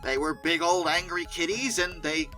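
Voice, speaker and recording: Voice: mid-range voice, normal speed, pushed and bright. An opinionated video host giving scripted commentary with conversational asides, stressing points by emphatic repetition. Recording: music playing behind the voice. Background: background music.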